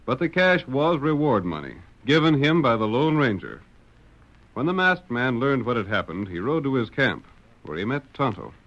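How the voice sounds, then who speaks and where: A man's voice: mid-range voice, big pitch swings, normal speed, fairly bright tone. A man reading scripted narration as a 1940s radio announcer. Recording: muffled old radio recording.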